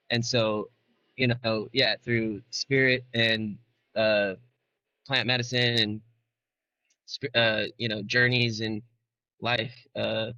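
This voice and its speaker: A man from Arizona, a musician guest, answering interview questions in a halting, emotional way with many fillers. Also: mid-range voice, bright, forceful tone, dry recording. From Arizona, United States. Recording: dull, muffled sound.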